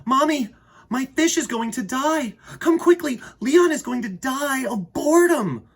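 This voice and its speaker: A man's voice: very high voice, lively intonation, a little slow, pitched above the performer's own voice, exaggerated voice. A man voicing an anxious, wondering little boy in a storybook, pleading and questioning.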